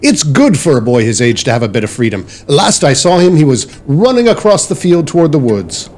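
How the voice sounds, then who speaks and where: A man playing an easygoing, wry Victorian gentleman and father in a period audio drama. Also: fairly high pitch, very animated, brisk. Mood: breezy, reassuring.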